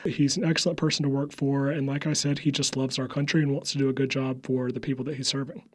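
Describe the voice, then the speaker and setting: A man's voice: medium pitch, flat delivery, quick pace. A young man from upstate South Carolina with a Southern accent, speaking earnestly as an interview guest, with occasional fillers and stammers. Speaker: guest, think tank media staffer. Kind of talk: conversational interview.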